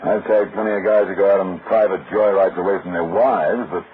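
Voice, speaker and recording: An actor playing a wry, skeptical private detective in an old-time radio drama, talking in blunt, sardonic slang. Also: male voice, sits low, fairly even intonation, brisk, lightly acted. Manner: slangy, sardonic, hard-boiled. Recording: muffled, noisy vintage radio recording.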